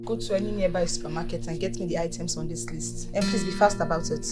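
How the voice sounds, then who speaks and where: An actress with a Nigerian accent playing a haughty, contemptuous wife of a household boss, deliberate and taunting in a film drama. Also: female voice, lowish voice, steady tone, over loud music, close to an everyday voice. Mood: imperious.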